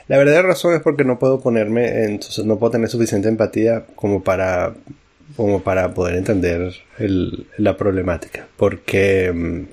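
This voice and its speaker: Brief: man with a lowish voice, podcast co-host, halting and reflective. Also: a little mellow. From Venezuela. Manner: halting, thoughtful, searching for words. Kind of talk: casual discussion.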